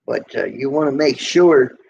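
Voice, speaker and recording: A middle-aged man from the United States with a squeaky voice, hosting a casual livestream from a motel room, rambling in a folksy way and answering viewer comments. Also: animated, unhurried, gravelly, darkish tone. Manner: rambling, folksy, with many fillers and restarts. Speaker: trucker livestream host. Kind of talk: casual live chat reading viewer comments. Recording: lo-fi livestream audio, faint noise.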